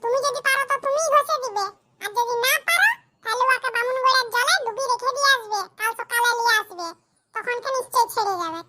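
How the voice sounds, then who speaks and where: A woman voicing a shrill scolding mother-in-law. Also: quick pace, dry recording. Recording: loud background noise.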